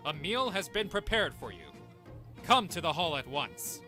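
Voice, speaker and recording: A man's voice: high voice, sing-song, normal speed, over loud music. Haughty king, wildly swooping delivery. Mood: haughty, commanding. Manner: pompous, commanding. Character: haughty, imperious king, grandly condescending. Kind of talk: comic dub acting.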